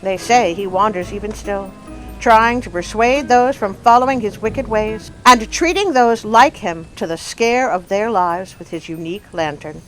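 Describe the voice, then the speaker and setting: A woman storyteller and channel host with an expressive, dramatic delivery, reading scripted stories for children. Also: mid-range voice, moderate pace, faint music behind, poor audio.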